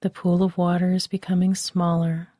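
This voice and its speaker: A woman guiding a relaxation meditation, speaking softly and soothingly, recorded dry and close as a voice-over. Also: fairly low pitch, completely flat delivery, no echo. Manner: slow, soft and soothing. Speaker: meditation guide.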